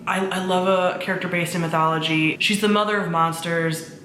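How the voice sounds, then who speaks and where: Echoey, low-pitched woman chatting about favorites. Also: fairly even intonation, fairly quick, a bit bright. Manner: gushing, informal, mild swearing. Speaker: book reviewer, video host. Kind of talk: casual chat to camera. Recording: echoey room, faint background noise.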